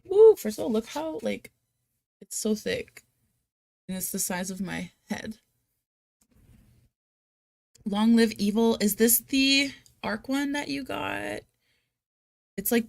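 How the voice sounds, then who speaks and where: A woman's voice: mid-range voice, animated, slow, gravelly, pauses often, dry recording. A woman with a Rhode Island accent hosting a casual live chat, talking in a chatty, self-deprecating, giggly and playfully dramatic way. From United States, Rhode Island. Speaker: live stream host of reading sprints.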